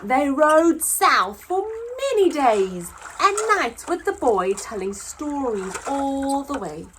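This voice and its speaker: A woman reading a picture book aloud to young children as a story time host, expressive and deliberate, drawing out words. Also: high voice, slight background noise.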